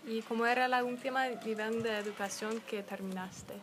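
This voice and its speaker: Higher-voiced woman interviewer, very noisy recording. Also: steady tone, normal speed. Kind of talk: prepared interview questions. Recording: loud background noise with clicks.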